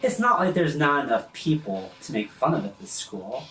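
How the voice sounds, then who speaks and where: A man playing a firm, bargaining high school student in a tabletop game, recorded off-mic in a roomy space. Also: big pitch swings, normal speed, a bit bright, far from the mic. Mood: firm, defiant. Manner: firm, negotiating. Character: determined high school kid bargaining with a bully. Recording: roomy, off-mic, faint background noise.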